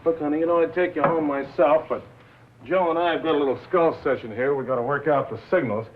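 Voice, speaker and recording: An actor playing a brash, boozy, bitter radio executive in a 1950s film drama, talking in glib, slangy, back-slapping patter. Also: male voice, fairly high pitch, fast talker, close to an everyday voice. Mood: glib, dismissive. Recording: tinny, noisy 1950s film soundtrack.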